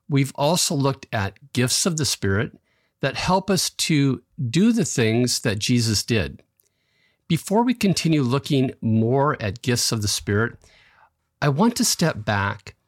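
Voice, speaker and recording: A man with a slightly raspy voice from allergies, hosting a devotional podcast and telling a personal story in a calm, earnest way with light chuckles. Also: mid-range voice, no echo, studio quality. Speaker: podcast host.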